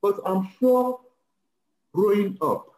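Animated male interviewer in an echoey room. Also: sits high, takes it slowly. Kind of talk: conversational interview questioning. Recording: roomy, echoing sound.

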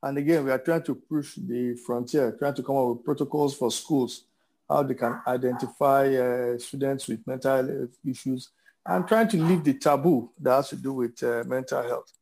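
Gravelly-voiced Nigerian man, halting delivery. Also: mid-range voice, fairly even intonation, a little mellow, a little hiss or hum. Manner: halting, hesitant, repeats words for emphasis. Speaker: interview guest, businessman. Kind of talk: reflective conversational interview answers.

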